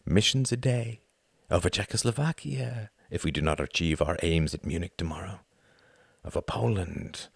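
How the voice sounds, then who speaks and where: A man voicing an older, cruel and mocking male Nazi count who shouts and cackles. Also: low voice, gravelly, husky, no room sound, clean studio sound, heavily acted.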